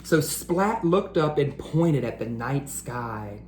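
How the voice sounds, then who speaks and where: A woman reading a picture book aloud to children as a teacher, in a deliberate, engaging storytelling style. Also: rumbling low, expressive, a little mellow, echoey room, a little hiss or hum. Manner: deliberate, engaging, asks listeners questions. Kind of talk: animated read-aloud storytelling.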